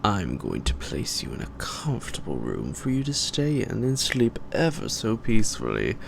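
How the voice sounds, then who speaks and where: A man playing a suave, menacing vampire in a roleplay audio drama, with theatrical, taunting, old-fashioned formal speech and a gravelly, breathy voice. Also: lowish voice, wildly swooping pitch, normal speed, a little hiss or hum, exaggerated voice. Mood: mock-tender, sinister. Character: suave, menacing male vampire, gentlemanly and sadistic predator.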